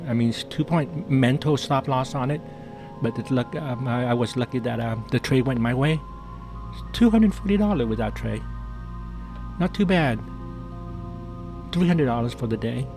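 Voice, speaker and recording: Male live-stream trader, mid-pitched voice, casual and jokey, repetitive phrasing, light music underneath. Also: animated, moderate pace, creaky, long pauses. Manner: chatty, jokey, repeats phrases and often says "man". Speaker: day trader hosting a live trading stream. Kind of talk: casual live trading commentary.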